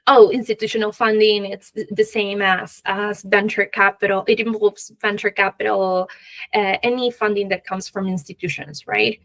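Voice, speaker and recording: A woman with a Peruvian accent, talking as a guest expert in a conversational interview, halting and often laughing lightly mid-sentence. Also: mid-range voice, level tone, a bit bright. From Peru. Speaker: guest expert, venture capital professional.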